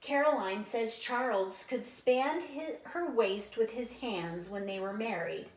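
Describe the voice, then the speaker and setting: A woman's voice: a little high, measured pace. Echoey woman reading a storybook aloud. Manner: explains to young listeners, animated sound imitations. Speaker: read-aloud teacher. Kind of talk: storybook read-aloud with casual explanatory asides. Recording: echoey room.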